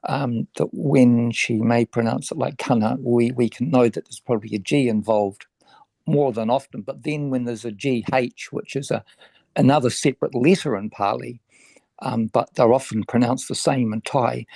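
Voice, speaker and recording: Male participant, measured and explaining, raspy, dry close sound. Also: mid-range voice, mellow, dry recording. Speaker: discussion participant. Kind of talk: casual discussion.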